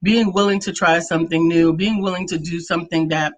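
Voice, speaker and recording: A woman's voice: lowish voice, fairly even intonation, brisk. American woman in her fifties, casual co-host. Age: 50s. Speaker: talk show co-host, coach. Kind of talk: casual conversational talk.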